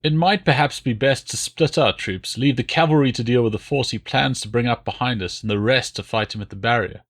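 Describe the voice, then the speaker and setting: A man's voice: medium pitch, brisk, fairly bright tone. A seasoned Roman general, played by a male audiobook reader. He is blunt and practical as he gives his commander advice. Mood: advising. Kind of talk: audiobook character voice.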